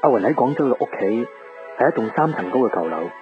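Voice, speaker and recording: A man's voice: mid-range voice, very animated, fast, lightly acted. An actor playing a lovelorn, patient young man, narrating his thoughts with restraint in a radio drama. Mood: brooding, longing. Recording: dull radio recording with music bed.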